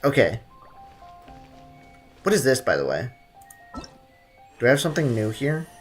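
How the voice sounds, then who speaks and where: A man's voice: medium pitch, big pitch swings, a little slow, husky, faint music behind. A man hosting a casual game livestream, commenting and reading chat with blunt, teasing banter. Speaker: livestream host.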